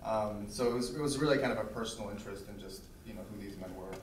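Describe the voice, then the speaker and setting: A male film director answering festival audience questions, halting, with many restarts. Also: fairly low pitch. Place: theater. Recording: echoey hall, distant mic, faint noise.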